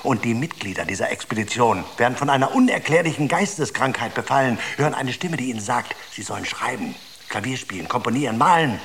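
A man's voice: mid-range voice, lively intonation, fast talker, a bit bright, a little hiss or hum. A man playing a stern, worried member of a space expedition in a German radio drama, urgent and sceptical. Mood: exasperated.

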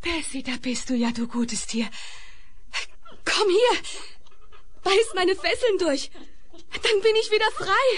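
Radio-play captive girl: urgent, coaxing, drawn-out high delivery, noisy old record. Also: expressive, heavily acted. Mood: excited, pleading. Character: captive young woman pleading urgently with her dog. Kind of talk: 1970s German radio play acting.

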